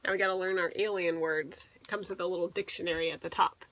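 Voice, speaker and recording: A woman teacher reading a picture book aloud to children, playfully expressive, stretching words for emphasis and adding asides. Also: on the low side, fast talker, rough recording.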